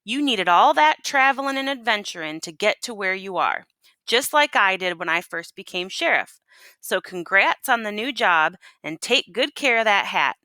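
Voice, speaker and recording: A woman teacher reading a storybook aloud to children and pausing to ask them questions. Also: medium pitch, animated, pushed and bright, no echo.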